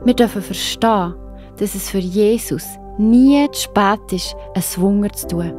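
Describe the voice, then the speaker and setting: A woman's voice: medium pitch, unhurried, dark tone. A woman with a Swiss German accent narrating a Bible story for children. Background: faint music.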